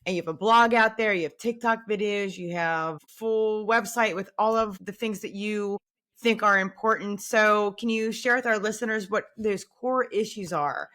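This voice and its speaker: A woman's voice: mid-range voice, moderate pace, fairly bright tone. A woman who hosts a podcast. Her questions come easily and casually, with a voice of middling height. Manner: conversational, asks questions. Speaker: podcast host. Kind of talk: podcast interview.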